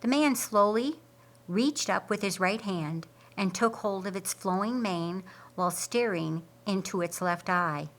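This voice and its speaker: A woman narrates a dramatic audiobook tale. Her pace is very slow, with deliberate, theatrical phrasing and a mid-range pitch. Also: a bit bright. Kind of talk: dramatic scripted storytelling narration.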